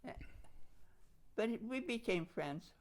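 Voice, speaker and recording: An older woman from Slovakia, a native Slovak speaker, casually reminiscing in an interview in a halting way, often repeating her phrases. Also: a little high, animated. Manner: halting, repeats phrases, chuckles while recalling.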